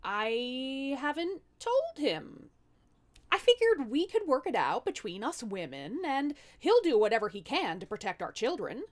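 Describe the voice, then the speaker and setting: Casting: woman playing bold young storybook wife, shrewd, sassy negotiator, highish lively voice. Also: a little slow. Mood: diplomatic. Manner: sassy, direct, modern slangy delivery.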